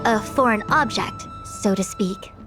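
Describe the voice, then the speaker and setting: A woman voicing a composed, authoritative female diviner in a video game, formal, measured and instructive. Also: mid-range voice, lightly acted. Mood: analytical. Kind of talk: anime-style video game dubbing. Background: game music.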